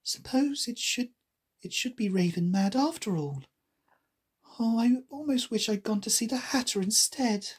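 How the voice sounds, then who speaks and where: Storybook young girl acted by a woman, high, prim, earnest, curious, easily indignant, very lively intonation. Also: unhurried, slightly rough, full character voice. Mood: musing, puzzled.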